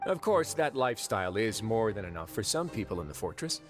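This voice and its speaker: An actor playing a composed, authoritative duke of a prison fortress in a video game, speaking in a measured, formal way. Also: male voice, lowish voice, sing-song. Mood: wry, calm. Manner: measured, formal, dryly understated. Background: faint music.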